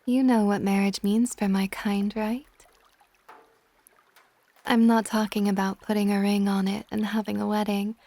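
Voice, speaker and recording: A woman playing a possessive, tender dragon goddess in an intimate ASMR roleplay, speaking softly and breathily, often near a whisper. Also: mid-range voice, little pitch movement, dry recording, close to an everyday voice. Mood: teasing, seductive. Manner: soft, breathy, slow, often dropping to whispers.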